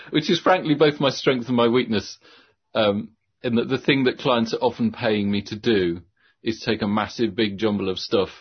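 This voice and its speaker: A man's voice: fairly low pitch, fairly even intonation, normal speed, breathy edge. A man talking as a guest on a podcast, reflective and a bit hesitant, with light laughs. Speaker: guest, copywriter. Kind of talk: casual conversation. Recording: muffled lo-fi audio.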